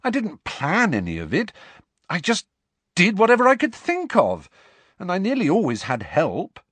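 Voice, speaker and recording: A man's voice: sits high, huge pitch swings, moderate pace, fairly bright tone, dry recording, pitched above the performer's own voice, exaggerated voice. A man reading aloud and voicing an angry teenage boy wizard, raised and insistent in his speech. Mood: heated.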